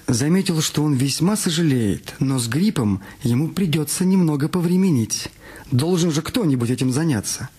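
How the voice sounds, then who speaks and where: A man's voice: a little high, darkish tone, slightly rough. A man reading a detective story as the narrator of a scripted radio production. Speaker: narrator of an audio drama. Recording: music under the voice. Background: background music.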